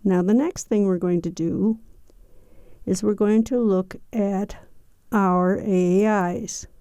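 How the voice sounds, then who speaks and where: A woman giving an unscripted, step-by-step software walkthrough in a methodical, explanatory manner. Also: mid-range voice, steady tone, slow pace, clear, resonant tone, no room sound. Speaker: software tutorial presenter.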